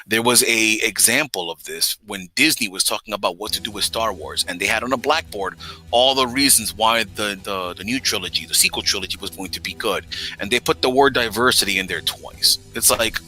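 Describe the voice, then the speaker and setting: A man's voice: on the low side, brisk, sharp tone, faint music behind, low-quality recording. A man from the United States talking in casual chat, explaining steadily with stammering restarts.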